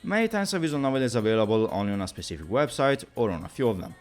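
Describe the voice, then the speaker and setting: A man's voice: mid-range voice, very animated, rapid-fire, faint music behind. A man giving a scripted, lecture-style explainer as a video presenter, with wry, teacherly asides.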